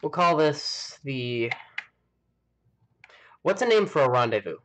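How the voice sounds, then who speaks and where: A man's voice: fairly high pitch, expressive, takes it slowly, a bit bright. Rambling American man chatting while gaming. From United States. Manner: rambling, thinking aloud. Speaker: live streamer hosting a gaming stream. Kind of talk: casual chat while playing a game.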